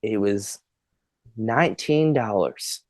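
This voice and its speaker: A man's voice: fairly low pitch, expressive, slow, no room sound, clean studio sound. A man in his twenties, a podcast guest, telling a long funny story in casual chat, laughing and swearing as he goes.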